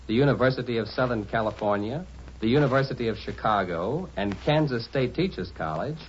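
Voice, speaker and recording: A man giving a formal, deliberate scripted radio address as a university president. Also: mid-range voice, a little hiss or hum. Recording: dull vintage radio broadcast recording.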